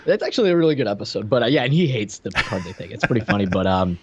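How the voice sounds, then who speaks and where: Male podcast co-host, lowish pitch, informal fan chat, enthusiastic. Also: big pitch swings, racing, background noise.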